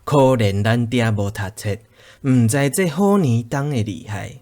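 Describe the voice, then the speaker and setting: 一位男演員飾演只顧賺錢、不屑一顧的農家兒子，操台語口音。. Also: male voice, medium pitch, lively intonation, measured pace, darkish tone, close to an everyday voice. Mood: scornful. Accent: Taiwanese Hokkien. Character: farmer's son, greedy, dismissive. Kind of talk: radio drama acting.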